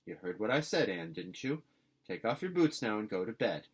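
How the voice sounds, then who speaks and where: A man voicing a stern older woman guardian in a storybook, brisk, tart and scolding. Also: fairly low pitch, sing-song, slightly rough.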